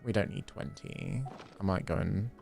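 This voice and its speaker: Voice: deep voice, moderate pace, gravelly. A streamer chatting casually and exclaiming while playing a game, talking half to themselves. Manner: animated, exclamatory, talking to self. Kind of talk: casual livestream gameplay chatter. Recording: game music behind. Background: video game music.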